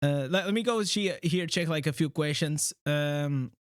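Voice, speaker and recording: A Portuguese man chats on his livestream with a noticeable accent and a voice pitched a little high. He talks in halting bursts, restarting often and padding with fillers. The sound is dry and close. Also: smooth, no room sound. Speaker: streamer host. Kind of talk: casual livestream chat.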